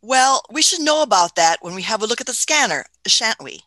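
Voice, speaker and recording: A woman's voice: fairly high pitch, very animated, brisk, pushed and bright, dry recording. A woman playing a fussy, pompous time-travelling scientist in a radio play, with old-fashioned phrasing. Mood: brisk, satisfied.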